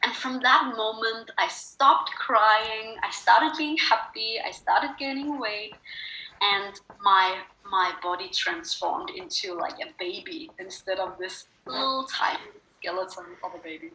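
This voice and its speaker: Bright, echoey Danish-accented woman telling her story. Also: mid-range voice, unhurried, a little hiss or hum. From Denmark. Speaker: interview guest, wellness speaker and coach. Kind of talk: personal storytelling in an interview.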